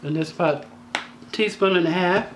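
A woman with a slightly raspy voice hosting a home cooking tutorial, talking in a chatty, down-to-earth way with joking asides. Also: fairly low pitch, moderate pace, slight background noise, low-quality recording. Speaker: cooking channel host. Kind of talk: casual cooking tutorial voice-over. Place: home kitchen.

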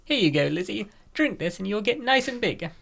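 A woman's voice: medium pitch, big pitch swings, a little fast, vocal fry, a bit bright, heavily acted. A woman voicing a scheming, gluttonous young gym woman in a comic dub, coaxing and smug.

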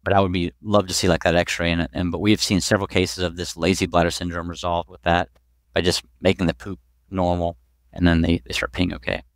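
He is a man in his forties with a low, resonant voice. He talks like a doctor on a podcast, informally walking through his subject with everyday examples. The headset sound is close and dry. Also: level tone, a little fast, dry recording. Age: 40s. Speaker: pediatric urologist, podcast guest. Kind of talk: conversational expert explanation. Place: small clinic office, video call. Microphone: headset boom mic.

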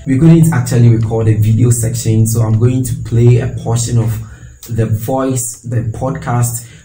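A young man with a Ghanaian English accent tells his story straight to camera in a small home room, clipped with a lapel mic. His voice is dark and mellow, with an earnest storytelling manner, and the room adds a roomy echo while faint music sits underneath. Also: mid-range voice, steady tone, moderate pace. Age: young adult. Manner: earnest, storytelling with hand gestures. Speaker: freelance designer and video creator. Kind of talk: casual talking-head video. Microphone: clip-on lapel mic.

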